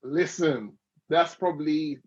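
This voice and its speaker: A man hosts a relaxed interview, his voice husky and a little breathy and pitched slightly high. He teases his guest and winds through loose, playful questions. The sound is dull and lo-fi. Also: takes it slowly. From Dominica. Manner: playful, teasing, rambling questions. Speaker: interview host. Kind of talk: casual chat. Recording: dull lo-fi recording.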